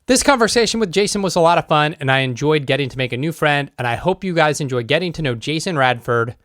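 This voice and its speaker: A man's voice: a little high, animated, fast talker, a bit bright, no echo. Upbeat podcast host man, dry sound. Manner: upbeat, conversational, enthusiastic. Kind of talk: casual podcast intro and interview chat.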